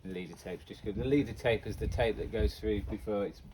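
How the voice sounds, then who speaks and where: A man's voice: lowish voice, fairly quick. A man giving casual, unscripted commentary as a vlogger, rambling and muttering to himself. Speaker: amateur vlogger going through old tapes. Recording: very noisy amateur recording with clicks.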